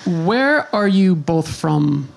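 Male podcast host, heavy vocal fry, informal conversational interviewing. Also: a little high, sing-song, extremely slow.

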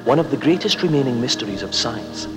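Animated male science narrator, loud music. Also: medium pitch, fast. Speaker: documentary narrator.

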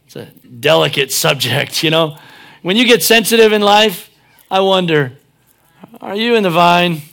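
A man's voice: sits high, lively intonation, a little slow, creaky, a bit bright. A pastor preaching a sermon, chatting and joking, then driving his points home with emphatic peaks.

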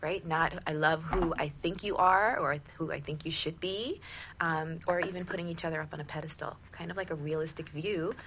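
A woman talk show host with a bright, forward voice that sits fairly low. She speaks conversationally and affirmingly, often tagging her points with a questioning "right?", over faint background noise. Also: steady tone, a little fast.

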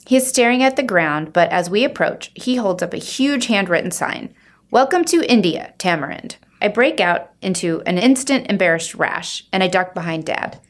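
A woman reading a children's book aloud as an expressive storyteller, acting out the dialogue. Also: on the low side, moderate pace, hardly any pauses.

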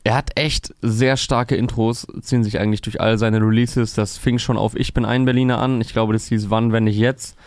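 A man's voice: medium pitch, little pitch movement, moderate pace, hardly any pauses, darkish tone, no echo, clean studio sound. A man co-hosting a casual show, talking through rap intros and videos in an analytical way with frequent fillers. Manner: fluent, analytical, many "äh" fillers. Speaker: podcast host reviewing rap releases. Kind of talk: casual music review chat.

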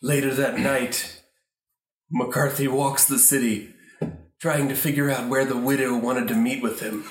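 Halting male narrator in an echoey room. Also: medium pitch, little pitch movement, recorded from a distance. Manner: rambling, halting, trailing off. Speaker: narrator of an improvised radio play. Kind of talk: improvised story narration.

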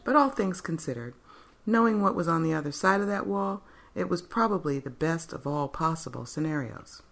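A woman narrates a fiction story in a scripted, dramatic style. Her voice is notably low for a woman. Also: animated, moderate pace, creaky, fairly bright tone.

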